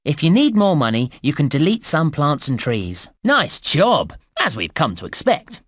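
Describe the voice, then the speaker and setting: An actor playing a chirpy, helpful male theme park guide in a children's game, with cheery, clearly enunciated scripted narration. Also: a little high, sing-song, normal speed, fairly bright tone, no echo, studio quality, full character voice. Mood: teasing, then animated, concerned.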